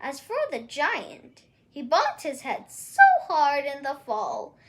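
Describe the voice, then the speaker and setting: A woman reads a children's tale aloud. Her voice is strikingly high, almost childlike, and very lively in its rise and fall. She takes her time over each phrase. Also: takes it slowly. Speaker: storybook reader. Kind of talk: expressive read-aloud children's storytelling.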